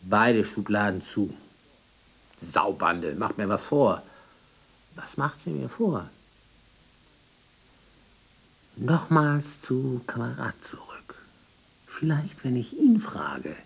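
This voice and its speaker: Grumpy obsessive archivist, slow husky voice, swings all over, radio drama. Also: male voice, mid-range voice, very animated, heavily acted. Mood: irritated, muttering. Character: irritable obsessive male archivist, barking orders at drawers. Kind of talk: 1990s German radio play acting.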